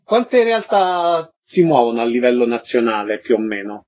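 A man's voice: mid-range voice, sing-song, moderate pace, a little mellow. A man putting interview questions as the host of a radio programme. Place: radio broadcast. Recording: slightly dull, lo-fi radio audio.

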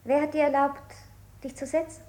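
An actress playing a domineering, threatening interrogator in a stylized 1960s radio play. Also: female voice, sits high, little pitch movement, normal speed, darkish tone, lightly acted. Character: shrill, domineering female interrogator. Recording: echoey room with clear background noise.

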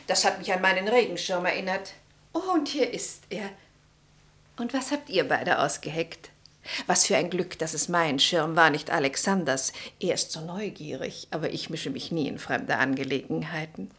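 A woman's voice: fairly low pitch, normal speed, fairly bright tone, breathy edge. An actress in a German radio play voicing a meddling, chatty and self-assured old society lady, inquisitive and bossy in a theatrical way. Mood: chattering, brisk. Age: older.

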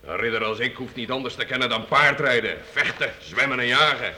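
An actor playing a gruff, hot-tempered knight in a radio play, contemptuous and blustering. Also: male voice, medium pitch, fairly quick, pushed and bright, a little hiss or hum. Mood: scornful.

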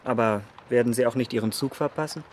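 An actor playing a vain, scornful young gentleman in a radio drama, speaking polished, formal lines in a haughty, mocking manner. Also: medium pitch, fast talker, a little hiss or hum, natural voice. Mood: breezy, flippant.

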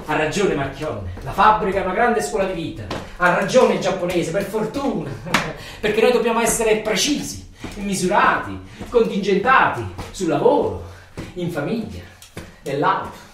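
A man's voice: high voice, lively intonation, moderate pace, fairly bright tone. A man with a Neapolitan accent playing an embittered, rambling factory worker in a theatrical monologue, colloquial and repetitive. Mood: resigned, sardonic. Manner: colloquial, repetitive, ranting and muttering. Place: echoey room or hall, far from the mic. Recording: echoey, distant stage recording with faint noise.

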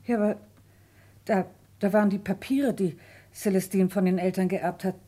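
An actress playing a timid, earnest farm woman in a German radio play, speaking haltingly and anxiously. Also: medium pitch, fairly even intonation, mellow, close to an everyday voice. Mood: hesitant, earnest. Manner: halting, repeating words.

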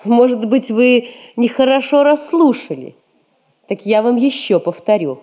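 A woman's voice: fairly high pitch, expressive, dark tone, dull audio. An actress playing a headstrong young merchant-class woman in love, defiant and emphatic in a stage comedy. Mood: stubborn, challenging. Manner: deliberate, emphatic, stubborn delivery. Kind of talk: Russian stage comedy recorded as radio play.

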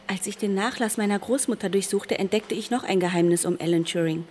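An actress playing a granddaughter who recounts a wartime family secret in a radio drama. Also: female voice, mid-range voice, fairly quick, a little mellow, slight background noise, lightly acted. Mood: intent, explaining.

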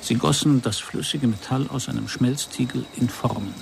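A male private detective for a radio crime drama. He is observant and unruffled, with a gravelly voice and a dry, wry delivery that stays polite. A faint noise sits under the recording. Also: mid-range voice, normal speed. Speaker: narrator and lead actor.

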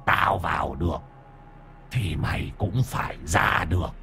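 A man voicing a gloating man-eating monster with quiet menace in a horror audio story. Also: deep voice, unhurried, creaky, soft background music, voice pushed down, full character voice.

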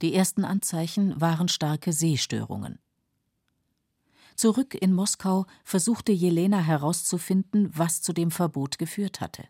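A woman reading scripted biographical narration in a measured, matter-of-fact way, as a documentary narrator. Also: fairly low pitch, animated, warm tone, dry recording, studio quality. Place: dry studio.

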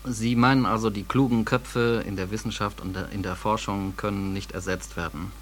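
Radio interviewer guy, kinda low voice, pushy journalist questions, noisy old tape. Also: fairly even intonation, normal speed, a bit bright. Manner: probing, challenging questions. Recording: noisy digitized 1980s cassette.

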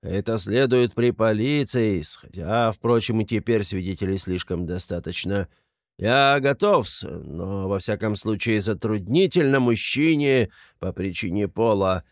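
A man voicing a pompous, evasive middle-aged gentleman in an audiobook, muttering in formal, halting phrases. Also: lowish voice, no echo, studio quality. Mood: flustered hedging. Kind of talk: dramatized literary audiobook.

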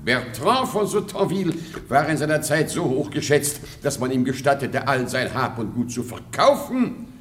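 A man's voice: mid-range voice, sing-song, moderate pace, slight background noise, close to an everyday voice. Man playing a pompous, blustering old-world baron. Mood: boastful. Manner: pompous, boastful, rambling. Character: pompous, blustering, forgetful aristocratic baron and father-in-law. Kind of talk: German radio play of classic stage comedy.

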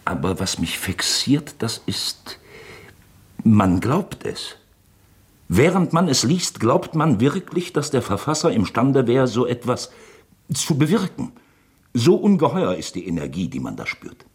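An actor playing a brooding elderly uncle in a radio drama, husky, speaking in a halting, pensive and uneasy way. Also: male voice, medium pitch, very animated, full character voice. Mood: uneasy, gripped. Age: older.

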